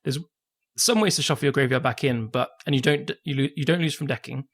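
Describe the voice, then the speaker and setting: A man's voice: mid-range voice, fast. A man co-hosting a casual podcast chat, laid-back and laughing often. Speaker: podcast co-host.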